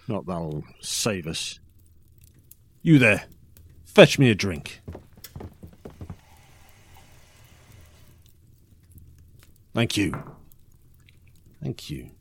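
A man's voice: on the low side, a little hiss or hum. An actor playing a bitter, scornful drunken man telling his tragic story theatrically in a fantasy audio drama. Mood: angry, menacing. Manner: theatrical, scornful, swinging from mocking mimicry to hushed menace.

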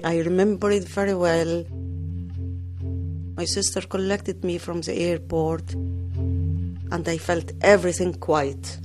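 Slow-speaking older Egyptian woman. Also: fairly low pitch, little pitch movement. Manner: slow, deliberate recollection. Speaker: interviewee. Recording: music bed under voice.

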